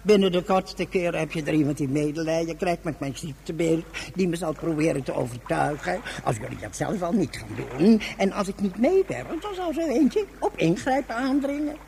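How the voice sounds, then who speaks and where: Creaky, rambling old hermit. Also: male voice, fairly high pitch, expressive, normal speed, hardly any pauses, a crowd in the background. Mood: musing, stubborn. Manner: rambling, folksy, gruff slang. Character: stubborn ageing hermit of the salt gorge, philosophising. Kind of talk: Dutch science fiction radio drama acting.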